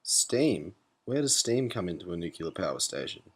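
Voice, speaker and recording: A man's voice: sits low, big pitch swings, moderate pace, slightly rough, full character voice. A man playing a naive, anxious and questioning spaceship captain in an educational cartoon. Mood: curious. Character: clueless, anxious male spaceship captain, curious learner. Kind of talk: scripted animated educational dialogue.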